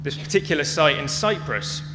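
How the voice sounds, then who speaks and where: A man's voice: a little high, sing-song, normal speed. A man speaking as a conference presenter, explaining things in a prepared public talk. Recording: echoey hall with clear hum and noise.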